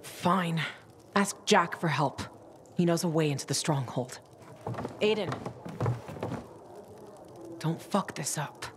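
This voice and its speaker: Tough, slow-spoken fierce young woman. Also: low-pitched, slight background noise. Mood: grim, bitter. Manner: blunt, commanding. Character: tough, fierce, foul-mouthed young female fighter. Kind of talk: video game voice acting.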